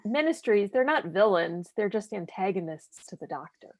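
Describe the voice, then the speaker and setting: A woman's voice: medium pitch, very animated, normal speed, a little mellow. A woman from the audience shares her opinion tentatively, thinking aloud as she goes. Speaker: audience member invited to speak. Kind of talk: casual panel discussion.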